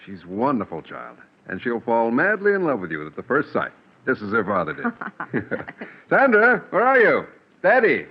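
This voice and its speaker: A man's voice: medium pitch, huge pitch swings, normal speed, slightly rough, heavily acted. An actor playing a jovial, confident father and new bridegroom in 1940s radio acting, on a muffled old recording. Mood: jovial, reassuring.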